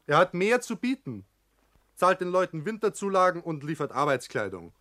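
An actor playing an ambitious, defiant young contractor's son, arguing bluntly with a Bavarian accent. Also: male voice, high voice, sing-song, normal speed, pushed and bright, no echo, clean studio sound, full character voice. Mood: reproachful. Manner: argumentative, blunt. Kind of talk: dialect stage-play acting.

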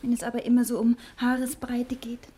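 Breathy, high-voiced anxious wife. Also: female voice, monotone, brisk, warm tone. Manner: breathy, plaintive, pleading. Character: anxious, loving young wife, reluctant to part. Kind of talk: 1960 German radio drama acting.

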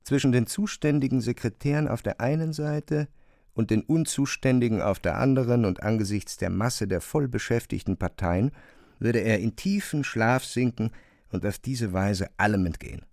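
A man's voice: medium pitch, moderate pace, darkish tone, no echo, studio quality. A man narrating a literary radio drama in scripted prose.

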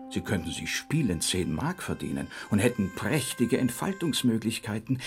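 An actor playing a glib, self-important bohemian dental technician in a radio play, speaking pompously in affectedly refined, lecturing phrases. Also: male voice, mid-range voice, natural voice. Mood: persuasive, oily.

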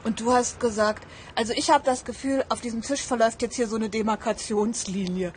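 A woman's voice: fairly high pitch, steady tone, fairly quick. A woman speaking spontaneously as an interviewee, recalling past events in an informal way. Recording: clear background ambient noise.

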